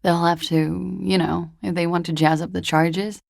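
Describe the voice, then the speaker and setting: An actress playing a cool, guarded young woman in a video game, with a husky, breathy, gravelly voice and a languid, dryly understated delivery. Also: on the low side, flat delivery, normal speed, a little mellow, no echo. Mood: guarded, uneasy. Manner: languid, dry, wryly understated. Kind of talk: video game character dialogue.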